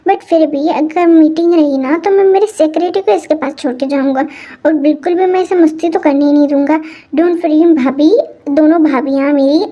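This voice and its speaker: Woman voicing a protective young uncle, calm and soothing, high voice, mellow tone. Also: natural voice. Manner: reassuring, soothing.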